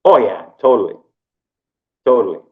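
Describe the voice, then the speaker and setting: A low-pitched man in his fifties from Canada, talking casually in an interview. He rambles, repeats himself and keeps tagging sentences with "right?". The recording sounds dull and lo-fi. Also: very animated, moderate pace. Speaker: interview guest.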